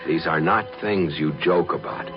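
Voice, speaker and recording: A man's voice: low voice, normal speed, loud music behind the voice. A man playing a stern, dutiful spaceship pilot in a 1950s radio drama, speaking tersely with grim regret. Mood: grave. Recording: dull, lo-fi 1950s radio recording.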